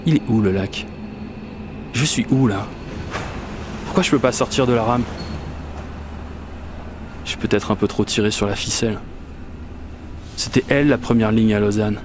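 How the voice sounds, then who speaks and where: An actor playing an anxious, rambling commuter lost in a surreal metro, talking conversationally to himself in a radio fiction monologue. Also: male voice, mid-range voice, close to an everyday voice. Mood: agitated. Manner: conversational, self-questioning, increasingly desperate. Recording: clear vehicle ambience and noise behind. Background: metro train ambience.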